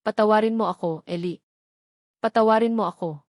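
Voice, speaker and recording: A woman's voice: medium pitch, a little fast, a little mellow, no echo, studio quality, lightly acted. A woman voicing a heartbroken, remorseful young mother pleading with her husband in a romance story. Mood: guilty, pleading.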